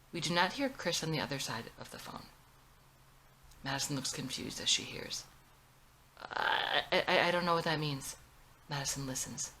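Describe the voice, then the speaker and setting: A woman's voice: sits low, sharp tone, a little hiss or hum, rough recording. A woman narrating the stage directions of her own screenplay in a scripted read.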